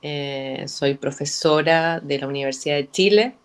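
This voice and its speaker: Woman academic, smooth clear voice, laid-back, video call. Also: sits low, a little slow. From Argentina. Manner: relaxed, hesitant fillers. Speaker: public health professor. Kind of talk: video call self-introduction.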